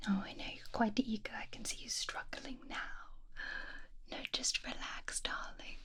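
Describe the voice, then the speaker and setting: A woman's voice: fairly high pitch, steady tone, moderate pace, fairly bright tone, slightly rough. A woman playing a doting, obsessed mad scientist in a whispered ASMR roleplay, coaxing and fussing affectionately. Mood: coaxing, tender. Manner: coaxing, fussing, doting, lingering over words.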